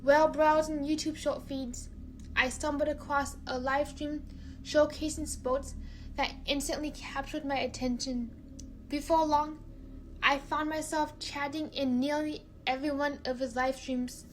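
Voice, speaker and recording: A young woman from China narrating a personal story, careful and halting, often repeating words. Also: sits high, steady tone, slight background noise, low-quality recording.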